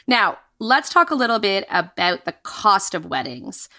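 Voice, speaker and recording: A woman's voice: medium pitch, sing-song, a little slow, bright, forceful tone. A woman co-hosting a personal finance show, talking in an upbeat, conversational way. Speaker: podcast co-host.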